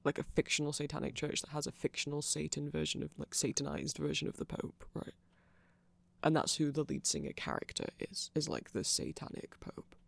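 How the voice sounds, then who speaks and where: A performer voicing a nerdy vampire in a casual ASMR roleplay, explaining things in a hesitant, digressive, conversational way with a creaky voice. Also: medium pitch, big pitch swings, a little fast, exaggerated voice. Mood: nerdy, rambling. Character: nerdy rambling vampire, eager fan explaining band lore.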